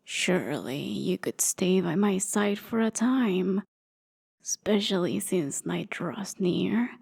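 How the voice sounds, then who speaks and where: A woman's voice: fairly low pitch, lively intonation, slow pace, vocal fry, darkish tone, husky, exaggerated voice. A woman voicing a feeble, pleading old woman traveler in a fantasy ASMR roleplay, with a hesitant way of talking.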